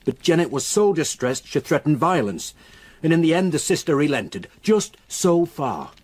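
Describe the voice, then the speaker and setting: An actor playing a grave, reluctant local countryman in a radio drama, with a solemn, halting way of telling a dark story. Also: a little high, big pitch swings, no room sound. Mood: sombre recounting.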